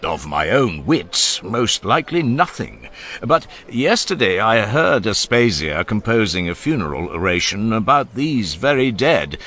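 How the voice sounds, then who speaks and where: A man's voice: fairly low pitch, big pitch swings, unhurried, pushed and bright, full character voice. A man voicing Socrates, an older male philosopher who speaks with teasing irony in a dramatized audiobook. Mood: ironic. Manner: ironic, teasing, expansive. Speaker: voice actor.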